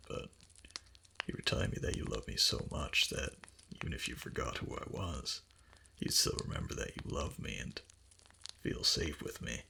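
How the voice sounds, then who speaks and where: A man playing a doting, obsessive fox-spirit husband in an intimate ASMR roleplay, breathy and half-whispered, tender and hesitant, close to the microphone. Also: a bass voice, pushed and bright, a little hiss or hum, heavily acted.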